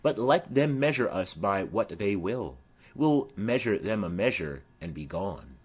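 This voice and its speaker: A man voicing a brisk, practical young gentleman in a declamatory Shakespeare audiobook reading. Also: fairly low pitch, big pitch swings, breathy edge, full character voice. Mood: brisk, dismissive.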